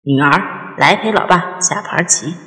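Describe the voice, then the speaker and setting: A woman's voice: lowish voice, unhurried, a bit bright, reverberant, big-room sound, poor audio. A woman voicing a jovial, playful middle-aged father who likes to boast, in an audio drama. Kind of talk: audio drama character dialogue.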